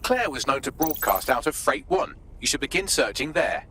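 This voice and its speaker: A dry, sarcastic AI. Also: male voice, fairly high pitch, sing-song, moderate pace, a crowd in the background. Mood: wry. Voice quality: dry, arch wit. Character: dry, sarcastic male AI assistant.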